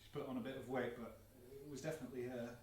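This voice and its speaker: An actor playing an awkward, apologetic man who speaks haltingly into the phone in a drama. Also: medium pitch, steady tone, darkish tone, lightly acted. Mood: awkward, hesitant. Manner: hesitant, halting. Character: awkward, apologetic man phoning a friend while out. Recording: echoey room, distant mic, faint noise.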